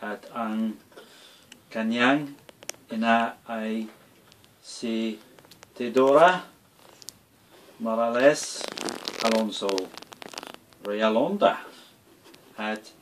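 A young man in a dim bedroom slowly reading a bedtime story aloud by a crib, carefully sounding out and stumbling over words, caught on a nearby phone camera. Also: lowish voice, a little hiss or hum, rough recording. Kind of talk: halting read-aloud in a second language.

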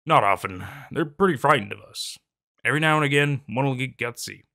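A man voicing a gruff, weary ship captain who talks bluntly and dismissively. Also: medium pitch, expressive, brisk, bright, forceful tone, dry recording, clean studio sound.

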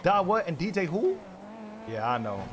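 A man's voice: high-pitched, very animated, moderate pace, background noise. A young man bantering casually in character during an online roleplay game, slangy and profane, repeating his phrases.

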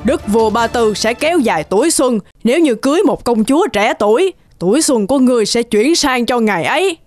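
Woman acting a high-voiced, gloating old witch. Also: expressive, a little fast, a little mellow, natural voice. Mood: scheming, scornful. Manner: gloating, menacing. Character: wicked old witch scheming for her king. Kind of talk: cartoon villain voice acting. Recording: music behind.

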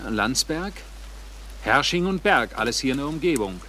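A businesslike police detective in a crime radio play. His mid-pitched voice moves up and down, over clear rain-like noise. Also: very animated, moderate pace, bright, forceful tone. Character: businesslike male police detective searching a car. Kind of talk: German crime radio drama acting.

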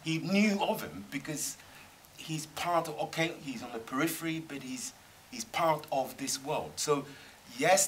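Bright-voiced man on a discussion panel. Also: on the high side, a little hiss or hum, poor audio. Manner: thoughtful, emphatic, many restarts. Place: cinema screening room with audience.

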